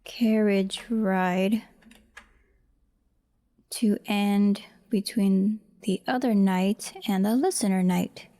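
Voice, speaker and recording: A woman giving casual behind-the-scenes commentary as she edits, halting and muttering to herself. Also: mid-range voice, fairly even intonation, dark tone. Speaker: voice actor, audio creator.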